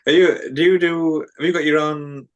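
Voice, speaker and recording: A man's voice: on the high side, steady tone, moderate pace, highs cut off. A man hosting a casual podcast interview, asking hesitant, filler-heavy questions. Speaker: podcast host.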